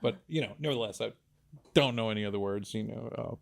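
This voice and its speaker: Gravelly easygoing radio host man. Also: fairly low pitch. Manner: easygoing, chatty, quick encouragements. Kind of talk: casual radio interview.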